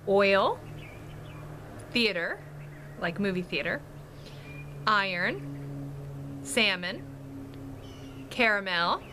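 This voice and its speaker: A woman's voice: medium pitch, sharp tone, slight background noise. A woman with a Chesapeake accent chatting casually at home, rambling and giggling often. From Washington, D.C. metro area. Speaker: vlogger. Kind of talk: casual chat to camera. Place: home in North Carolina.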